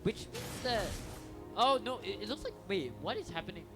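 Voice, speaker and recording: A man casting an esports match, energetic and chatty, restarting sentences and tossing in "you know". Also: high-pitched, normal speed. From Singapore. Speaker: esports caster. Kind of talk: esports play-by-play commentary. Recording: commentary over loud game music.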